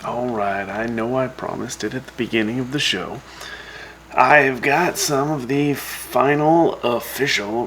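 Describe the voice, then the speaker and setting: Slow, gravelly folksy radio host played by a man. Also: mid-range voice, a bit bright, slight background noise, full character voice, rough recording. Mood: casual, reporting. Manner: folksy, unhurried. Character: laid-back post-apocalyptic wasteland radio host. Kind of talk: casual radio news broadcast.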